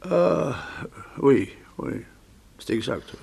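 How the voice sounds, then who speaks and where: A creaky-voiced older doctor in a sixties French radio play. He is a devoted father who feels diminished, and he speaks in theatrical, sighing, self-pitying tones at a middle pitch. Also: expressive, drawn-out words, a little mellow, full character voice. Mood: bitter resignation. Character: ailing, embittered older doctor, devoted father, once a surgeon. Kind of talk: 1960s French radio drama acting.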